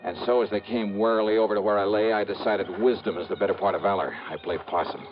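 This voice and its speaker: An actor playing a wry, hardboiled American insurance investigator in a 1950s radio noir drama. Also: male voice, lowish voice, steady tone, quick pace, lightly acted. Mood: wry, tense narration. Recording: muffled vintage radio with music.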